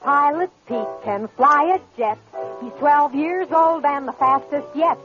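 A woman's voice: high-pitched, measured pace, over music. A woman singing an old radio advertising jingle. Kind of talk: sung 1950s advertising jingle. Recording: dull old radio recording.

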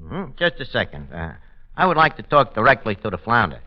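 Streetwise New bar manager, cocky slang, very animated delivery, vintage radio comedy, muffled noisy recording. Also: male voice, lowish voice, fairly quick. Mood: mock solemn. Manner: malapropisms, wisecracking, slangy. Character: brash, streetwise tavern manager, mangling big words, male. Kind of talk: 1940s radio comedy acting.

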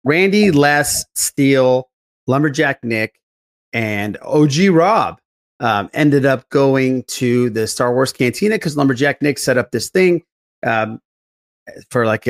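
Male podcast host, mid-pitched, loose rambling storyteller in casual group banter. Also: expressive, measured pace.

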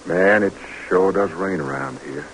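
An actor playing a plain-spoken, weary paratrooper buddy in 1940s radio drama style. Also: male voice, deep voice, a little slow, slight background noise, poor audio.

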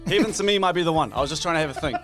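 Lively-pitched New co-host. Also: male voice, faint music behind. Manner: easygoing, quick interjections. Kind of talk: casual morning show chat.

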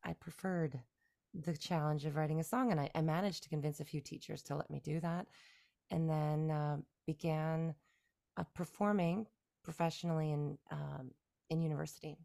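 A woman from Canada in her forties, a musician guest talking through her career in a relaxed, rambling way with fillers and restarts. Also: low voice, normal speed, vocal fry. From Canada, near Toronto. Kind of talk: casual interview conversation.

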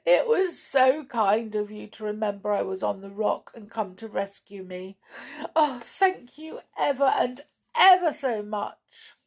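A woman voicing a naughty, frightened female toy doll who sobs and cries in a high voice. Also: expressive, measured pace, vocal fry. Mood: tearful. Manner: high, crying and sobbing.

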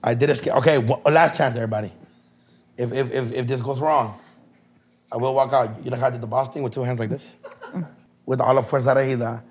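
A raspy-voiced young man co-hosting a podcast in casual banter, joking, laughing often and swearing freely. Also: mid-range voice, steady tone, brisk. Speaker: podcast co-host.

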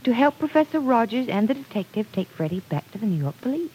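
A woman's voice: on the high side, very animated, fast talker, mellow, slight background noise, rough recording. An actress playing an earnest, stubbornly loyal young woman in an old-time radio soap opera. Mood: earnest, defensive.

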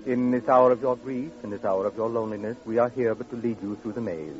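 An actor playing a pompous, unctuous funeral director in an old-time radio drama, with flowery, solemn, fussily genteel speech. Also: male voice, mid-range voice, quick pace, darkish tone, faint music behind, close to an everyday voice. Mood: solemn, oily. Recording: muffled vintage radio recording, faint noise.

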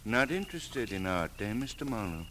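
An actor playing a courteous, menacing chauffeur with stiffly formal phrasing in a 1940s radio crime drama. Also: male voice, lowish voice, sing-song, moderate pace. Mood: coolly threatening. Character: polite, menacing male chauffeur turned gunman, coolly formal. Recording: noisy vintage radio recording.

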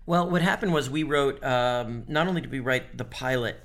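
A man, a series co-creator, explaining in casual interview talk, wry and quick with mock-quoted exchanges. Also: medium pitch, a bit bright.

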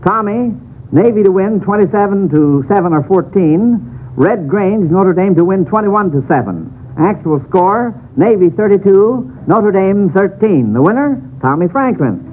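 An American man hosting a 1940s radio quiz show with formal, measured announcing. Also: high-pitched, expressive. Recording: tinny, noisy old radio broadcast.

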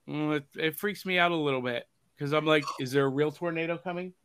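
A man's voice: a little high, fast talker, a bit bright, a little hiss or hum. A man hosting a pop culture podcast, upbeat and jokey in casual chat. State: hoarse from overuse. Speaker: podcast host.

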